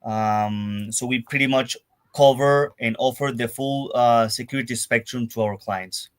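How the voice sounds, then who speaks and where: Halting man from Venezuela. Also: medium pitch, fairly even intonation. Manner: halting, many restarts and fillers. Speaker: guest, security firm partnerships manager. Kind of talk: casual interview on a live audio space.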